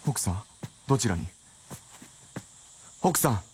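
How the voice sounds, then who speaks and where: A man's voice: on the low side, big pitch swings, slow pace, a little mellow, a little hiss or hum. A man playing an earnest, straitlaced detective novelist in a period radio drama, polite but easily flustered and incredulous. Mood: incredulous, agitated.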